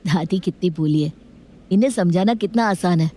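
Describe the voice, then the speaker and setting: A woman voicing a sly, wheedling schoolboy in an animated moral story. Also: lowish voice, normal speed, natural voice. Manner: pleading, sly. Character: cheeky, wheedling schoolboy outwitting his elders.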